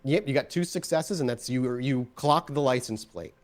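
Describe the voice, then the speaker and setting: A man with a medium-pitched voice narrates a tabletop roleplaying game as its storyteller. His delivery is animated and conversational, improvised as he guides the players. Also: moderate pace.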